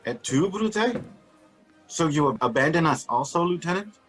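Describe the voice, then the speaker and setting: An actor playing a genial, eager-to-please wealthy father and host in a radio drama, courteous and placating, with a husky voice. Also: a little high, animated, normal speed, a bit bright, soft background music. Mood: apologetic, anxious.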